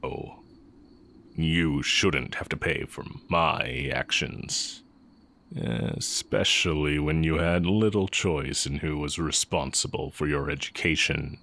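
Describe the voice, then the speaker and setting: Guy doing a super deep slow talking evil magic teacher, sly and teasing but kinda tender, intimate fantasy roleplay audio. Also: creaky, pushed and bright, full character voice. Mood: regretful. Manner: deliberate, teasing, with long pauses. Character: exiled heretic male magic teacher, sly, brooding, tender dark mage.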